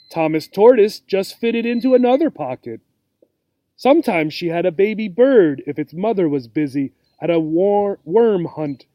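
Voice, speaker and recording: A man reading a children's storybook aloud as a teacher would, with simple, deliberate phrasing and asides to young listeners. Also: high-pitched, warm tone, no room sound.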